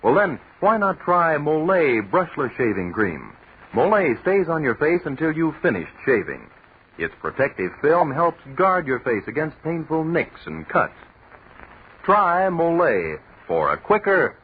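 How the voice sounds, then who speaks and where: Animated vintage ad announcer, tinny radio sound. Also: male voice, a little high, a little slow, breathy edge. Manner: emphatic, persuasive. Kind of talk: 1940s radio ad read. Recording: tinny, noisy 1943 radio broadcast.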